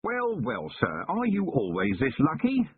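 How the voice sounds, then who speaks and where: A man voicing a weary, sardonic male police commander who speaks tersely in a raspy voice. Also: a little high, huge pitch swings, a little slow, slight background noise, voice pushed up. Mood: dry. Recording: muffled lo-fi narrow-band recording.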